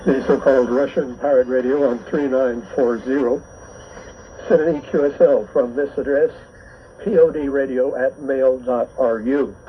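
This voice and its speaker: Male radio host, measured scripted bulletin delivery, dull band-limited shortwave sound, faint static. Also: mid-range voice, steady tone.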